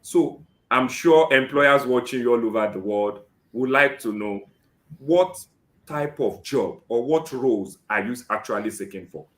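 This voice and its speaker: A Nigerian man hosting a live job seeker interview, often saying "great" and filling pauses with "um". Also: medium pitch, a bit bright, echoey room. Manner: keeps saying "great" and fills with "um".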